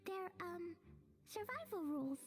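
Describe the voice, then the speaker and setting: A woman's voice: very high-pitched, animated, unhurried. A woman voicing a sweet, earnest, anxious little girl in a video game, with a small childlike voice and a hesitant, innocent manner. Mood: nervous. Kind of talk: video game character acting. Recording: music behind the voice.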